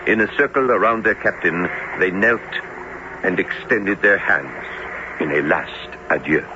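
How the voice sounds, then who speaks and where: An actor playing a learned, sober doctor and professor who recounts a sea voyage in formal, measured radio drama style. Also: male voice, low-pitched. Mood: solemn storytelling. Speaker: narrator and character. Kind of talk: dramatic radio narration and acting. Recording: noisy, dull old radio drama recording.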